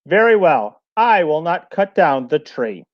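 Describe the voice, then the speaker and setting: A man playing a poor, impulsive woodcutter husband in a storybook read-aloud, plain-spoken and expressive. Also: on the high side, unhurried. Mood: agreeable. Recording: dull lo-fi recording.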